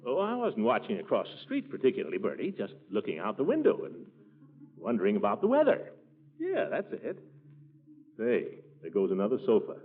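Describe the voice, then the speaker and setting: An actor playing a pompous, fussy middle-aged uncle who blusters and gets flustered, in 1940s radio comedy style. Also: male voice, on the low side, huge pitch swings, a little fast, slightly rough, full character voice. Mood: evasive, flustered. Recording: muffled, tinny 1940s radio recording.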